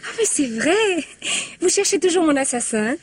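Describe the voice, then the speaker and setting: An actress in a 1950s-style French radio drama playing a cheerful, talkative and naive wife. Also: female voice, high voice, expressive, moderate pace. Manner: warm, talkative.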